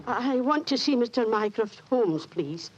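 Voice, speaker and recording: A woman's voice: a little high, lively intonation, normal speed, faint music behind, lightly acted. Woman acting fussy kindly london landlady, hesitant and polite, muffled old radio play. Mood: nervous, polite. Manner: humble, hesitant. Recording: dull vintage radio recording.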